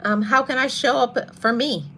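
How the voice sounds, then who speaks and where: Soft-voiced woman giving motivational talk. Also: a little high, normal speed, slight background noise. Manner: earnest, emphatic repetition of key phrases; greets live viewers mid-talk. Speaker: motivational podcast host. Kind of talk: live-streamed motivational talk.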